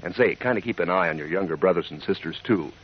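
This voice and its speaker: A man's voice: low-pitched, fast, husky, dry recording. An American man delivering a chummy, folksy, enthusiastic 1940s radio advertisement. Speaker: radio sponsor pitchman. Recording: muffled 1940s radio recording.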